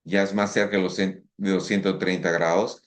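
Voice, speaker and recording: Low-voiced man lecturing with halting restarts. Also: little pitch movement. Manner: halting, frequent restarts and fillers. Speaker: orthodontist lecturer. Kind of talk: conference lecture. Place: conference hall.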